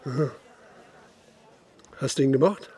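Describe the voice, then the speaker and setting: An actor playing a methodical, insistent police investigator in a German radio crime drama. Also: male voice, medium pitch, measured pace, mellow, breathy edge. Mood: probing. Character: persistent, probing male police investigator narrating his inquiry. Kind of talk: German radio drama acting, interrogation and narration.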